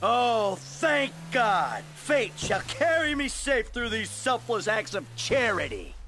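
An actor playing a sarcastic, jaded bank robber in a video game, wisecracking in a sardonic way. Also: male voice, very high-pitched, sing-song, slow pace, pushed and bright, soft background music, full character voice. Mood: bitter sarcasm. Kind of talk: video game dialogue acting. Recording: boat engine and water noise behind.

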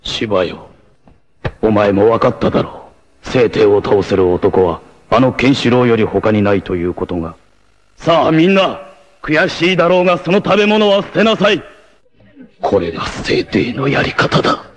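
An actor playing a noble, fatherly blind rebel warrior in an anime, with a solemn, formal, measured delivery. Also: medium pitch, big pitch swings. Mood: bitter, grieving. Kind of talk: dramatic anime acting.

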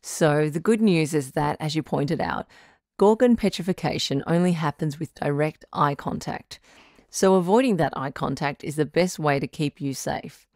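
A woman's voice: sits low, moderate pace, darkish tone, no room sound, studio quality. A woman playing a frank, reassuring doctor who hosts a radio call-in advice show, encouraging and matter-of-fact in a conversational style. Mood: reassuring, practical.

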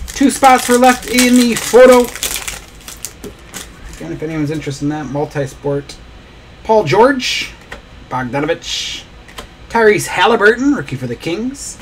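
A man's voice: high-pitched, very animated, a little slow, pauses often, slight background noise, poor audio. A man hosting a live trading card break, opening packs and rattling off player names as he goes. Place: small indoor studio desk.

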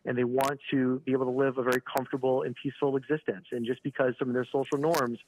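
A man giving a live news interview by phone, halting and repeating words, often saying "you know". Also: medium pitch, flat delivery, darkish tone. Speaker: regional director of an advocacy organisation, interview guest by phone. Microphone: telephone. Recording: phone call with clear background noise.

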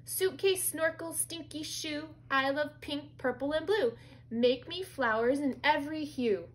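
Woman voicing an excitable, high-pitched little princess girl. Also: moderate pace. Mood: chanting rhymes. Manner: theatrical, exclamatory.

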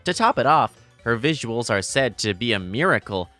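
A man's voice: mid-range voice, big pitch swings, moderate pace, bright, forceful tone, faint music behind. A man playing an easygoing teenage boy narrating a romantic comedy manga story, explanatory and fondly exasperated. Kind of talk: scripted manga narration and dialogue.

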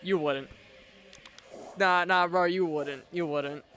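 A high-voiced man in casual gaming banter. He is playful and teasing and likes to repeat himself, with music behind the voice. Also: steady tone, takes it slowly. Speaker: video game player. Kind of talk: casual banter while playing social VR.

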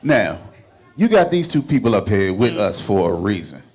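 A man co-hosting a casual panel interview in a restaurant, lively and repeating words as he starts sentences, speaking into a handheld mic. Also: fairly low pitch, normal speed. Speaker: talk show co-host. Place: restaurant with wood-paneled walls.

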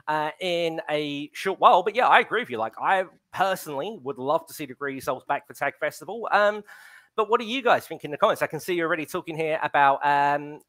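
A man's voice: on the high side, pushed and bright. A man in his thirties hosting a wrestling show on a video call, chatting casually and reading out viewer comments with a headset mic. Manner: reads viewer comments, many ums. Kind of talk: casual live-stream commentary chat. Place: home room on a video call.

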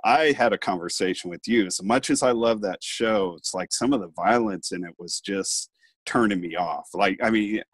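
Middle-aged male host, low voice, dry close sound, casual rambling delivery on an earbud mic. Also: moderate pace, breathy edge, dry recording. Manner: rambling, many restarts and fillers. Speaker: podcast host. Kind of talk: casual video-call chat. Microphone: earbud mic on video call.

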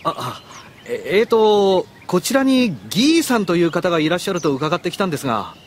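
A man's voice: sits high, big pitch swings, a little fast, slight background noise. An actor playing a friendly, considerate young swordsman with a casual, apologetic manner in an anime audio drama.

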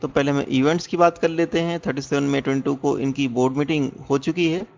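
A man's voice: mid-range voice, steady tone, brisk, vocal fry, a little mellow. A man with an Indian accent presenting an explanatory stock analysis as a voice-over, in a muffled lo-fi recording. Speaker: presenter of a stock market education channel.

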